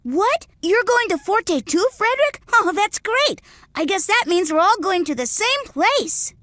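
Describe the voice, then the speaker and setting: A woman voicing an excitable, cheerful child in a video game, eager and expressive. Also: very high-pitched, measured pace, no room sound, exaggerated voice. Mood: delighted. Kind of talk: English-language video game voice acting.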